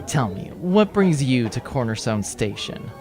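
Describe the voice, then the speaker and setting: A man playing a composed, secretive space rogue in a sci-fi audio drama, dry and courteous in a measured way. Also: mid-range voice, sing-song, a little mellow. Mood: dry, probing. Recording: voice over background music.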